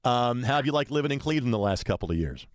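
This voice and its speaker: A man's voice: mid-range voice, lively intonation, very fast, no room sound, studio quality. A man hosting a sports radio show, interviewing a guest in a conversational way.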